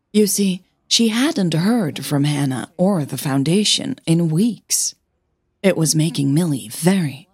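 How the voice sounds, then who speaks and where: A woman narrating scripted audio fiction as a podcast storyteller, with measured, deliberate phrasing and short pauses. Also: sits low, a little mellow, slightly rough, no echo, clean studio sound.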